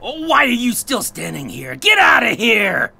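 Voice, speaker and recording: A man's voice: very high-pitched, sing-song, moderate pace, creaky, sharp tone, breathy edge, heavily acted. A man playing an irritable, eccentric intelligence agency chief in a comic audio drama, exasperated and given to barking orders. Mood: irritated. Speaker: voice actor. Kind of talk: comic sci-fi audio theatre acting.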